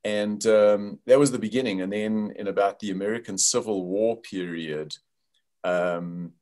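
A man's voice: fairly low pitch, measured pace, a little mellow. An older man with a South African accent, a company founder explaining his work over a video call in a thoughtful way, with many fillers.

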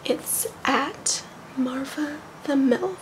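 A young woman whispering intimately in a breathy, girly, vain manner for an ASMR role-play, close to the microphone in a small bedroom. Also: high-pitched, very slow, background noise. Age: young adult. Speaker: ASMR video host in a comic persona.

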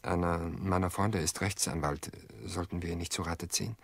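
An actor playing a calm brother-in-law in a radio drama, soothing and dismissive in a measured way. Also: male voice, deep voice, gravelly, dry recording, full character voice. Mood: probing. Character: calm, reassuring brother-in-law, reasoning away suspicion.

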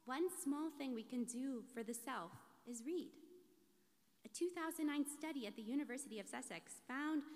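The sound of a large, reverberant sanctuary surrounds a woman with a somewhat high voice. She is a rabbi reading a prepared sermon from the lectern, phrasing it in a measured, deliberate way. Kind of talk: scripted sermon, read from a lectern. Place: large synagogue sanctuary, indoors.

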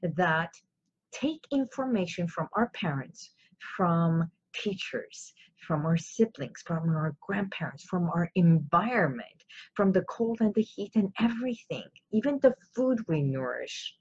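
A woman with a notably low, husky voice speaks at a very unhurried pace. She is a native Armenian speaker, giving an informal motivational talk in an emphatic, explanatory way and putting questions to her viewers. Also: expressive, dull audio. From Iran. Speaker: host of a self-help live show.

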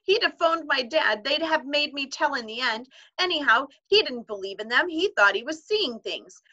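Woman reader doing a tearful, pleading schoolboy; high, bright, trembling. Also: animated, normal speed, muffled recording. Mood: desperate pleading. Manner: high, tremulous and urgent.

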